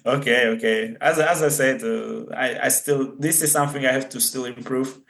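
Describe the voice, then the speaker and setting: A man from the Czech Republic with a Czech accent, a guest athlete and coach answering interview questions in halting English, searching for words. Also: mid-range voice, gravelly, fairly bright tone, echoey room.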